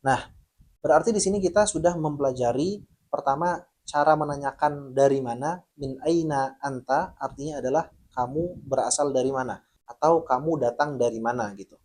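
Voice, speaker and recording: A man teaching an online language lesson in a friendly, patient way. He explains each point and slows down to spell out the example phrases. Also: a little high, darkish tone. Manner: addresses viewers as friends.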